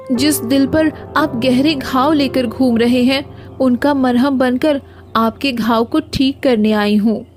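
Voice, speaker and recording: Woman as high-voiced earnest village wife Maya. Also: darkish tone. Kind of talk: animated moral story dialogue.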